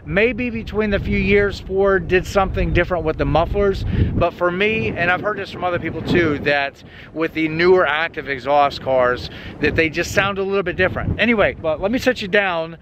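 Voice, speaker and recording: A slightly hoarse man in his thirties hosting a casual car vlog, talking with energetic enthusiasm into a camera held at arm's length on an open lot. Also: moderate pace, dull audio. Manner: energetic, hyped-up pitch. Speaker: car channel host. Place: outdoors on an open paved lot. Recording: outdoor clip with loud wind noise. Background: wind noise.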